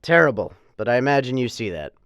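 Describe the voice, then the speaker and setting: A man's voice: medium pitch, big pitch swings, quick pace, fairly bright tone, no room sound, clean studio sound. An actor playing a weary, anxious male police chief in a radio drama, speaking earnestly.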